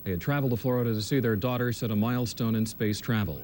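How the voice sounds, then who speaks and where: A man's voice: fast talker, a little mellow. An American man anchoring a television news broadcast, reading scripted reports. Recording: old TV broadcast with faint rumbling noise.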